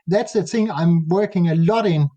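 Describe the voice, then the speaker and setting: Halting Danish-accented man, high and mellow. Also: dull audio. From Denmark. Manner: halting, searches for English words. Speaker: nature photographer guest. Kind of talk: casual interview talk.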